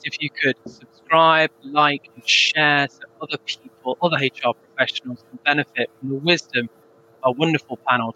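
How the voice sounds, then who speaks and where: A man's voice: mid-range voice, bright, forceful tone, dull audio, a little hiss or hum. A man hosting a live panel show, talking in a friendly, chatty way with frequent ums. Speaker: host.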